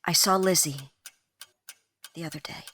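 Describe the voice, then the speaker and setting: A woman's voice: deep voice, a little slow, fairly bright tone, slightly rough, heavily acted. A woman playing a wry, composed Victorian mother in an audio drama, with a formal, dry manner. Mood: grave, hushed. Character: wry, proper middle-class Victorian mother.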